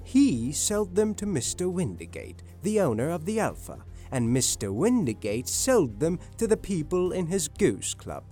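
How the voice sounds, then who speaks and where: A man playing a confident, shrewd detective in a dramatised audiobook, polite, measured and knowing. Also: a little high, wildly swooping pitch, mellow, soft background music. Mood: assured, knowing.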